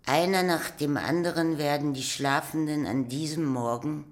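A woman's voice: low-pitched, moderate pace, close to an everyday voice. A woman giving scripted literary narration in a radio play. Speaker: second narrator.

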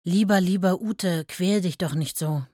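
An actress playing a devoted, motherly and anxious woman in love in a radio play, speaking softly and in a heartfelt way. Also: fairly low pitch, measured pace, a little hiss or hum, close to an everyday voice. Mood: tender, anxious. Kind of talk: radio play, intimate letter reading and recollection. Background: music.

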